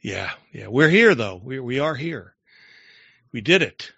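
A man's voice: medium pitch, sing-song, measured pace, gravelly, a bit bright, breathy edge, no echo, highs cut off. A man hosting a live online show in casual chat, loose and rambling, often trailing off mid-sentence.

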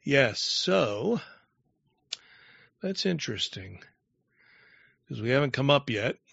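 Man, live show host, casual rambling chat, mid pitch, dry sound. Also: very animated, takes it slowly, creaky, a bit bright, husky, dry recording, lo-fi sound. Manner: loose, chatty, trails off mid-sentence.

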